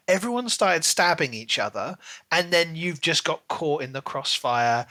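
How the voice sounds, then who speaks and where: A man with a slightly high, bright, forward voice talks casually as a podcast co-host. He is opinionated and emphatic, sliding into exasperated rants. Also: no room sound. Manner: emphatic, ranting, mock-exasperated. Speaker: podcast co-host reviewing books. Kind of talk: casual opinionated book chat.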